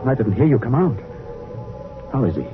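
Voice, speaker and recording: An actor playing a commanding but humane spaceship captain in a 1950s science fiction radio drama. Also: male voice, mid-range voice, sing-song, normal speed, over music, close to an everyday voice. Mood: reflective. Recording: tinny old radio broadcast.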